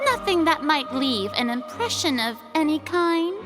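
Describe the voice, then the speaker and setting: A grandiose young noblewoman taunts like a prosecutor in a video game. Her voice is very high and takes its time, pompous and sing-song, over soft music. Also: expressive, unhurried. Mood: confident accusing. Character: theatrical, haughty young lady prosecutor, smug and grandiose.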